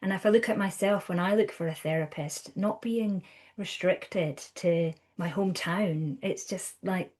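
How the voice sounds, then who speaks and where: A Scottish woman in her thirties speaks as a podcast guest, her voice sitting on the low side. She talks in a chatty, lively way, restarting phrases and acting out what others said. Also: unhurried. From Scotland. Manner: chatty, animated, with restarts and acted-out quotes. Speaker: guest counsellor. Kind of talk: conversational podcast interview.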